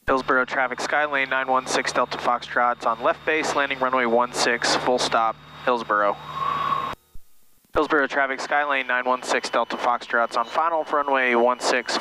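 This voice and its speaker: Clear engine noise sits behind a man talking on an aviation headset in a small plane. His voice is average in pitch. He moves between clipped, procedural radio calls and casual flying instruction. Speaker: private pilot and flight instructor giving tips. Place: small airplane cockpit in flight. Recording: noisy aircraft cockpit, engine drone.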